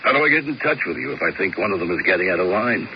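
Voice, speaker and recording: Deep-voiced hitman, radio mystery. Blunt, laconic, gravelly, on a muffled old recording. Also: racing, noisy background. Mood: businesslike, mercenary. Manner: laconic, deadpan, tough slangy talk. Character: blunt, mercenary male hired killer, coolly unbothered. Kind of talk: 1970s radio mystery acting.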